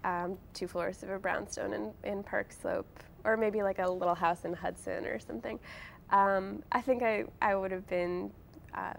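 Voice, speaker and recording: Young female author as interview guest, conversational and loose, gravelly fry in the voice. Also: medium pitch, a little hiss or hum. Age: young adult. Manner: informal, hedging, peppered with "like" and "sort of".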